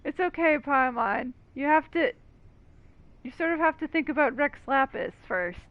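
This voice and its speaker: A woman's voice: high-pitched, expressive, moderate pace, muffled recording. A woman giving casual, teasing, wry commentary while playing a video game. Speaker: gamer commentator. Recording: game music faintly behind.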